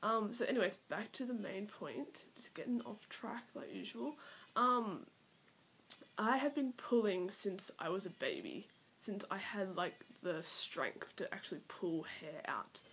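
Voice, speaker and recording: A woman's voice: medium pitch, animated, unhurried, a bit bright, a little hiss or hum. A nineteen-year-old Australian woman talking nervously to her camera in a first personal vlog, rambling with many fillers and sometimes trailing into a near-whisper. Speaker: vlogger. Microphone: iPad built-in mic.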